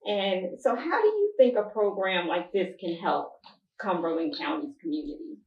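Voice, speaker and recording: A woman's voice: lowish voice, expressive, moderate pace. A woman moderating a public panel, asking questions and offering formal thanks. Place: church interior. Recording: echoey room, distant mic, dull sound.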